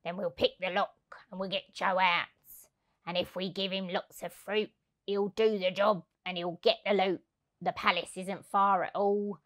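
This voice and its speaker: A woman's voice: mid-range voice, little pitch movement, unhurried, fairly bright tone, close to an everyday voice. A woman voicing a gleeful, scheming male burglar from a children's storybook, with a conspiratorial manner. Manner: conspiratorial, relishing the plan.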